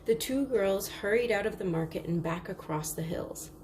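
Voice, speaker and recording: A woman's voice: mid-range voice, normal speed, darkish tone, a little hiss or hum. A woman narrating a children's picture book aloud in a storytelling style.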